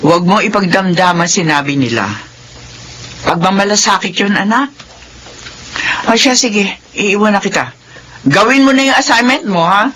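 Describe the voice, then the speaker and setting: A woman's voice: mid-range voice, moderate pace, pauses often, fairly bright tone, a little hiss or hum, natural voice. An actress with a Filipino accent playing a tired, devoted mother of three in a radio drama, pleading and scolding. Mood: gentle lecturing. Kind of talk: classic radio drama acting.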